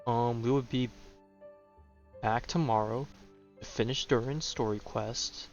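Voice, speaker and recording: A man's voice: mid-range voice, fairly even intonation, unhurried, lo-fi sound, faint music behind. A man giving casual, chatty live commentary as he streams a video game.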